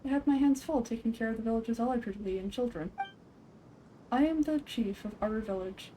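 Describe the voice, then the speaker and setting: A woman voicing a courteous, weary older male village chief in a game, speaking in a measured, formal way. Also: a little high, background noise, lightly acted. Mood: apologetic.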